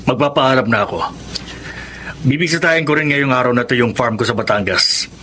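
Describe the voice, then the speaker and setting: Casting: male actor as courteous older Filipino farm owner, radio drama, mid pitch, bright delivery. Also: a little fast, slight background noise, close to an everyday voice. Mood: amiable.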